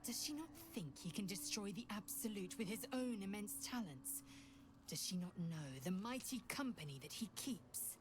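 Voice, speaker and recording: A woman's voice: medium pitch, lively intonation, normal speed, noisy background, natural voice. A woman playing a proud, scornful alien warrior who speaks formally in a video game. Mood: stern, scornful. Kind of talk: video game character acting.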